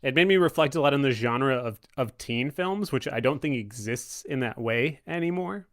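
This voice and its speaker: A man with a mid-pitched voice talks casually on a podcast. He rambles and stalls as he searches for words, keeping a tongue-in-cheek tone. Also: expressive, moderate pace, a bit bright. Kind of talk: casual movie chat.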